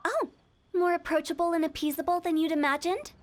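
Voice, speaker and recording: A woman's voice: very high voice, a little fast, slight background noise. An actress playing a mischievous, teasing young woman who runs a funeral parlor in a video game. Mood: playful. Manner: teasing, joking, with sly afterthoughts.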